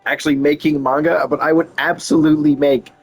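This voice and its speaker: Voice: mid-range voice, fairly even intonation, a little fast, slightly rough. A streamer reacting to a game in casual commentary, amused, informal and rambling. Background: faint background music.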